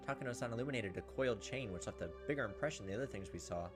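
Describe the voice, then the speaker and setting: A man's voice: lowish voice, rapid-fire. A man reading a visual novel aloud as a let's player, telling it dramatically and acting out the lines. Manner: expressive, storytelling, acts out the lines. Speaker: video game let's player. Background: game music.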